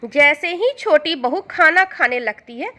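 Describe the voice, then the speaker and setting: A woman's voice: sits high, lively intonation, a little slow, sharp tone. A woman narrating a scripted moral storybook tale as the storyteller.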